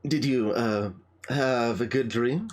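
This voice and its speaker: A man voicing a gruff, casual teenage monster girl from a video game, in a halting, offhand manner. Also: medium pitch, a little hiss or hum, pitched below the performer's own voice, natural voice. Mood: casual, teasing. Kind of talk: game dialogue read aloud.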